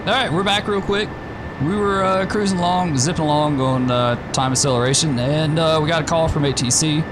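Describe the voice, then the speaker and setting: A slightly hoarse man hosting a flight sim stream, talking in a relaxed, rambling way with many fillers. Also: fairly high pitch, fairly quick, noisy background. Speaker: gaming channel host. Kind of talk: casual gameplay commentary.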